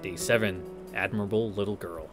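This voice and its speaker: A man's voice: on the low side, fairly even intonation, a little fast. A man reading a visual novel aloud, breaking off into wry, informal commentary, with game music behind him. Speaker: let's-play narrator. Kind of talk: visual novel read-through with casual commentary.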